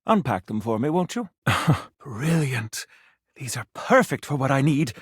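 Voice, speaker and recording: A man's voice: medium pitch, very animated, unhurried, husky, no echo, clean studio sound. An actor voicing a pompous, theatrical male food critic for a video game, speaking in a florid, haughty way and savoring each word. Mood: cordial. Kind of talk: video game character acting.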